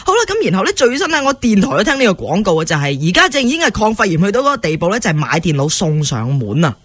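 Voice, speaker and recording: A woman with a Hong Kong accent co-hosts a comedic radio show. Her speech is quick and her pitch moves around a lot, in a close, dry studio sound. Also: very animated, a bit bright, husky, dry recording. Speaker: radio host. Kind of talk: casual comedic radio chat.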